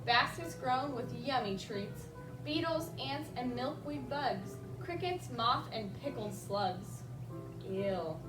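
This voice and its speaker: A woman with a high voice reads a rhyming children's story aloud in an expressive story-time manner, pausing to speak straight to the kids. She is recorded at a distance from the mic in a roomy, echoing space, with music behind her. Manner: expressive, addresses children directly with simple questions. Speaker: children's librarian reading a picture book at story time. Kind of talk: read-aloud rhyming children's story with asides to young listeners.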